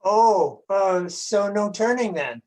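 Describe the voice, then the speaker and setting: A woman voicing a childlike, excitable and easily distracted dragon in a scripted children's church dialogue, eager and a bit hesitant. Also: medium pitch, takes it slowly. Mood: flustered, hesitant.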